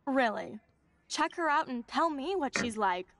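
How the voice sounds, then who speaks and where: A blunt, gossipy teenage girl in a story game. Her voice sits a little high, and she speaks candidly. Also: animated, a little slow, fairly bright tone, no room sound, full character voice. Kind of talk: video game voice acting.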